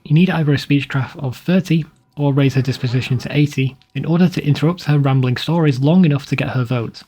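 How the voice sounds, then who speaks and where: A man's voice: medium pitch, brisk, mellow, slightly rough. A man reading a scripted video game walkthrough in a plain, informal, instructional way. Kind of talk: scripted guide narration.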